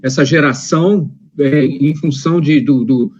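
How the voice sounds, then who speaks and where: A man's voice: fairly high pitch, measured pace, a little mellow, lo-fi sound, slight background noise. A man hosting a live interview, talking in a conversational, hesitant way with many fillers as he passes on viewer questions. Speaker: host reading viewer questions.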